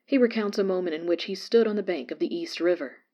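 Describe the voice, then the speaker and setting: A woman playing a radio newscaster who reads a disaster report in a measured, formal way. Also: fairly low pitch, warm tone, natural voice. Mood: sober, formal. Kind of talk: scripted news report in audio drama.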